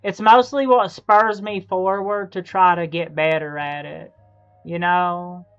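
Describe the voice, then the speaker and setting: A man in his thirties chats casually while streaming a game, with a smooth voice and a slow pace. He is halting, often circling back to repeat a phrase. The sound is slightly dull, with faint music underneath. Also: high voice, fairly even intonation, a bit bright. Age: 30s. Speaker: live streamer. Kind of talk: casual chat while gaming.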